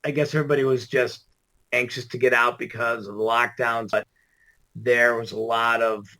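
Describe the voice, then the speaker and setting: A hoarse, gravelly middle-aged man, worn out after an all-nighter, chatting as a podcast guest with lots of fillers and laughs. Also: mid-range voice, flat delivery, normal speed, pushed and bright. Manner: rambling, laughs often, many fillers. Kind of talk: casual interview chat.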